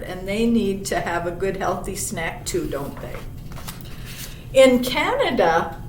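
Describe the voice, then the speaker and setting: Slow-paced woman reading to children, roomy sound. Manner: addresses young listeners with tag questions. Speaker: library storytime reader. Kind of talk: read-aloud of a children's picture book with chatty asides. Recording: roomy, distant mic with clear low rumble noise.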